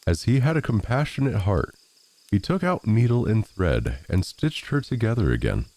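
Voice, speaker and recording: A man narrates a storybook tale with a lively, swinging intonation. His voice is mellow and a little gravelly. He reads expressively, as a storyteller does. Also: lowish voice, moderate pace. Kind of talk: scripted fairy tale narration.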